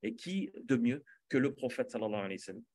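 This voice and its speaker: A man's voice: medium pitch, lively intonation, quick pace, a little mellow, dry recording. A male teacher giving earnest, preacherly advice in a religious talk.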